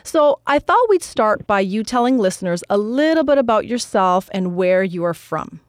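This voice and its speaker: A woman's voice: mid-range voice, very animated, normal speed, no room sound, clean studio sound. A woman hosting a radio health show, reading a scripted intro and asking interview questions.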